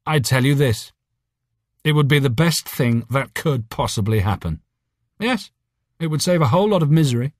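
A man's voice: mid-range voice, expressive, moderate pace, no echo, clean studio sound, voice pushed up, natural voice. A man voicing a drunk, sardonic, cynical male scientist in an audiobook story, rambling and pitying. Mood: gleeful, warming up.

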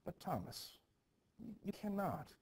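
A man's voice: high-pitched, big pitch swings, measured pace, darkish tone, breathy edge, voice pushed up, exaggerated voice. Man playing flustered reverend, stage play, defensive, pleading, stammered repeats. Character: anxious, defensive village reverend fearing scandal.

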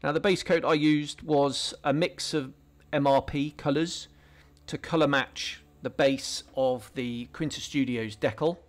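A man's voice: fairly high pitch, steady tone, measured pace, hardly any pauses. A man hosting a scale modelling tutorial, talking casually through each step with frequent ums and restarts. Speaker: scale modelling channel host. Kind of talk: relaxed hobby tutorial voice-over.

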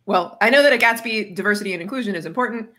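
A woman's voice: mid-range voice, rapid-fire, fairly bright tone. An informal woman moderating a livestream panel over video call, peppering her talk with filler words. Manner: informal, says "like" often. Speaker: host. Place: indoor room, video call.

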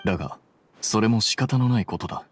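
An actor playing a coolly rational young scholar in a video game, explaining things in a measured, detached way. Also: male voice, fairly low pitch, animated, a little hiss or hum, lightly acted. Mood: cool, composed. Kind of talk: Japanese video game voice acting.

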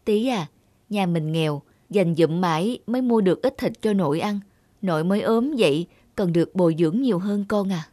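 A woman's voice: lowish voice, a little fast, warm tone, dry recording, natural voice. A woman playing a caring young peasant mother in a children's cartoon, explaining things in a soothing, patient way. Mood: gently explaining. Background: music.

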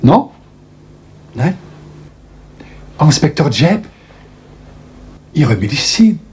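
An actor playing a small, self-assured Belgian detective with a French accent, theatrical and politely lecturing in a radio crime drama. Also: male voice, on the high side, big pitch swings, a little mellow, full character voice. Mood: teasing, lecturing. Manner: theatrical, deliberate, polite, lecturing, teasing rhetorical questions.

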